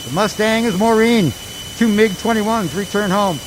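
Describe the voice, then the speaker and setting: Man acting an excitable high-pitched fighter pilot. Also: moderate pace, lightly acted. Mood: alert. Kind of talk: amateur radio comedy acting. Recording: jet engine and vehicle noise.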